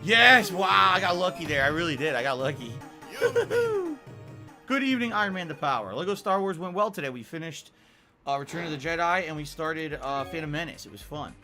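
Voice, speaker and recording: A male game streamer with a high-pitched, very animated voice gives live commentary as he plays. He is excitable and profane, and music plays clearly behind him. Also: a little fast. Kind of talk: live gameplay commentary and chat. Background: fighting game music.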